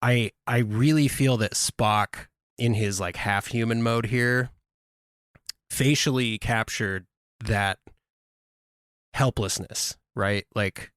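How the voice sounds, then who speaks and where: A man's voice: medium pitch, slow, fairly bright tone, clean tone, no room sound, clean studio sound. A man co-hosting a podcast, talking earnestly and reflectively, thinking aloud as he goes. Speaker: podcast co-host. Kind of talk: casual podcast discussion.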